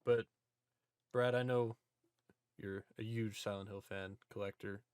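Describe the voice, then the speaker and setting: A man's voice: fairly low pitch, fairly even intonation, measured pace, a little mellow, a little hiss or hum. A man hosting a casual podcast discussion, speaking informally and steering the conversation along.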